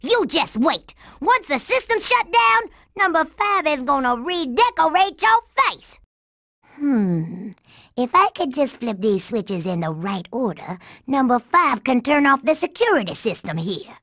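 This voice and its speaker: Cartoon game role: tough, sassy young girl agent, gravelly and animated, slangy attitude. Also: high voice, unhurried, no room sound, clean studio sound, full character voice. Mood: cocky, indignant. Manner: streetwise slang, refers to herself in third person. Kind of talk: cartoon video game voice acting.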